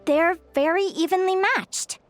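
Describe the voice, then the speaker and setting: A young bird-fae woman with a high, expressive voice and an explorer's eager curiosity. She speaks with earnest energy, as a video game heroine, over light music. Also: unhurried. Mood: curious. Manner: bright-eyed, earnest, expressive. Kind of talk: English video game voice acting. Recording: music playing behind the voice.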